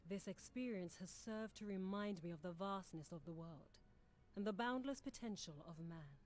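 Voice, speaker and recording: A woman's voice: mid-range voice, normal speed, slight background noise, close to an everyday voice. An actress playing a humble, earnest refugee warrior woman in a video game, speaking in formal, archaic phrases. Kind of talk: video game cutscene acting.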